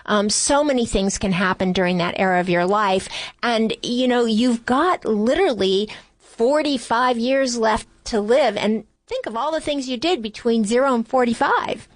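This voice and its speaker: A woman's voice: a little high, normal speed, no echo. A woman talking conversationally and emphatically, giving motivational advice.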